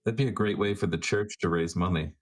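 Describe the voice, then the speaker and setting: Male tabletop player, low-pitched voice, casual improvised dialogue in his own voice. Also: quick pace.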